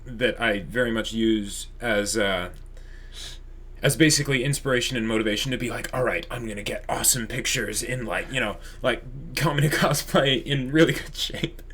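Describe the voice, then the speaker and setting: A man co-hosting an online channel in casual chat, with halting, repetitive phrasing. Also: medium pitch, a bit bright, a little hiss or hum.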